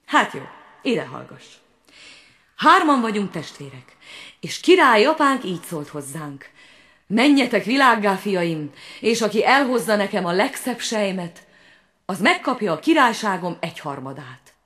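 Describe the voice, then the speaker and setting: A woman voicing a brave but proud young prince who speaks louder and brusquely, often indignant. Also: medium pitch, very animated.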